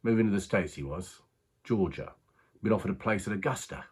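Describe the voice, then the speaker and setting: A man acting a husky, low-voiced racing man. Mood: wistful. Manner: halting, confiding, colloquial, with pauses. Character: rueful working-class British racing man confiding a strange story. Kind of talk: dramatic monologue, conversational storytelling.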